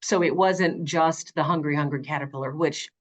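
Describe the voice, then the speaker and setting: A middle-aged woman speaking conversationally in an interview as an audiobook narrator, with earnest, expressive and emphatic phrasing. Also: low voice, moderate pace.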